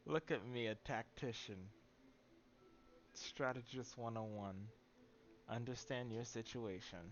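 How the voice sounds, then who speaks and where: A man's voice: medium pitch, steady tone, a little slow. A young adult man giving casual, playful live commentary as a game streamer, with slangy asides. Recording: dull lo-fi audio with game noise.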